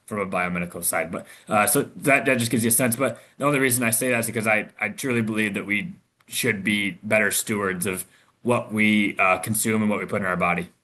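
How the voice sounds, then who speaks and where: A man from Michigan, an investor answering questions on a video call, talking haltingly with many restarts and fillers. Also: fairly low pitch, fairly even intonation, sharp tone. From Michigan, USA. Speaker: investor guest.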